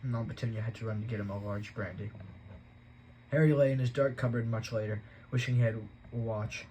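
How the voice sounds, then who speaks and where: A man reading a novel aloud at a steady pace, with an occasional stumble he corrects. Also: fairly low pitch, brisk, slight background noise, low-quality recording. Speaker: audiobook reader.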